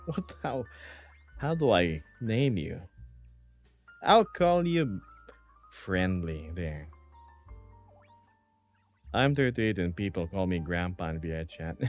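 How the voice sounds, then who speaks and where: A man's voice: deep voice, big pitch swings, slow, pauses often, faint music behind. A man in his thirties streaming from home, chatting casually and reading chat messages aloud with frequent laughs.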